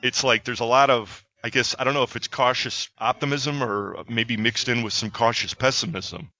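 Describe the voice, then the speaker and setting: A man's voice: medium pitch, fairly even intonation, moderate pace, pushed and bright, no room sound. A man hosting a podcast, chatting casually and plugging his company in an upbeat way. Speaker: podcast host. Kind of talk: casual chat with plugs. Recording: dull lo-fi sound.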